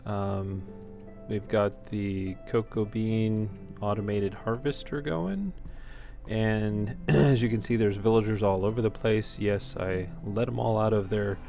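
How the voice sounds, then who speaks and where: A man's voice: on the low side, steady tone, unhurried, mellow, faint music behind. A man giving casual, unscripted gameplay commentary as a let's play host, talking in an easygoing, hesitant ramble. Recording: clearly noisy home recording.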